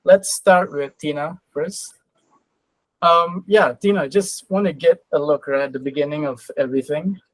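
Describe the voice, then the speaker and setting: Higher-voiced man hosting, close dry sound. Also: normal speed, no echo, rough recording. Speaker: podcast host, interviewer. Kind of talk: casual interview.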